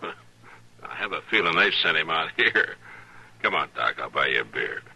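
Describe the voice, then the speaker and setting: An actor playing a steady, plain-spoken frontier marshal in an old-time radio western, laconic and measured. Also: male voice, very deep voice, gravelly, full character voice. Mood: easygoing, dry. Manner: laconic, measured, hesitant 'uh' pauses. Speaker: lead actor.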